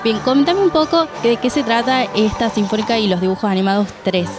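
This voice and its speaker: A woman's voice: fairly high pitch, fairly quick, over music. A woman with an Argentine accent working as a TV reporter, interviewing on location at a theatre.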